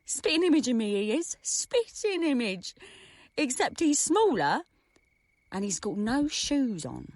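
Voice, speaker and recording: A woman's voice: on the high side, sing-song, a little slow, dry recording, heavily acted. A woman playing a weary, chatty station master and doting parent in a comic radio play. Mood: defensive, fond.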